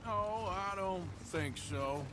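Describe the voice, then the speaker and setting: A gruff, laconic outlaw. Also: male voice, high voice, slow, background noise. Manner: dry, laconic. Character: gruff male outlaw, rough drawling cowboy. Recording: loud game music behind.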